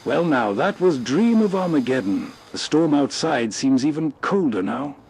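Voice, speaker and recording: A man hosting with atmospheric scripted narration in a folksy, unhurried manner. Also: on the high side, big pitch swings, a little mellow, slight background noise. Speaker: host.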